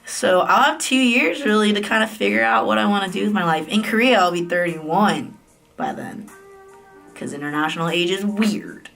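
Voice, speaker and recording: A woman's voice: medium pitch, normal speed, creaky, a bit bright, faint music behind. A woman in her late twenties from the United States, giving a casual, candid vlog update in a chatty way, with fillers and self-corrections. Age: late 20s. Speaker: vlogger. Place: office private room at night.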